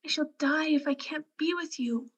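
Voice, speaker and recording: Woman playing a very high-voiced, shy country girl. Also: normal speed, lo-fi sound, natural voice. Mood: shy, adoring. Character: shy, adoring young country girl in love, superstitious. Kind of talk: radio play acting.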